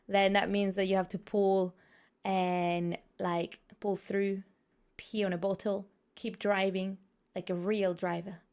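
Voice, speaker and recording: A woman's voice: mid-range voice, clear, resonant tone. A woman from Spain with a Spanish accent, chatting playfully with viewers during a game stream from her room, laughing often. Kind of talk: casual gaming stream chat.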